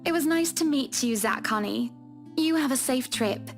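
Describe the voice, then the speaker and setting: Playful posh-mannered young wife in a vn game, high voice, music in the back. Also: female voice, lively intonation, normal speed. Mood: playful, warm. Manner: genteel, playful, teasing. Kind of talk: visual novel voice acting. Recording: music playing behind.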